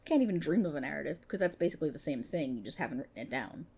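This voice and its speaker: A woman plays a vindictive, smug female cop of the multiverse in an ASMR roleplay. Her delivery is theatrical and mocking, with pitch that swings widely. The voice has a slightly husky, breathy edge as she lords it over a writer. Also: expressive, rapid-fire, a little mellow, exaggerated voice. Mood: smug, menacing.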